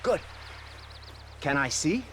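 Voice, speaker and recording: An ingratiating drug buyer in a video game, chummy and slangy. He speaks high and animatedly, with faint noise behind him. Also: sing-song, takes it slowly, a bit bright, heavily acted. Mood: overfriendly. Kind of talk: video game cutscene acting.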